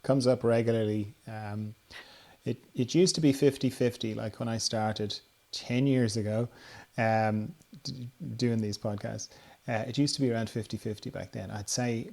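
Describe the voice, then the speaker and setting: Low-voiced man chatting, casual and halting. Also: fairly even intonation, a little mellow. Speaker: podcast host. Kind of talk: casual chat.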